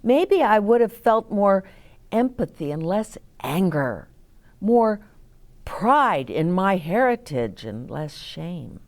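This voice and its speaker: A woman reading picture books aloud to children as a storytime host, in a deliberate, explaining way. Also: medium pitch, very animated, darkish tone. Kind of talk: expressive read-aloud for children with asides to listeners.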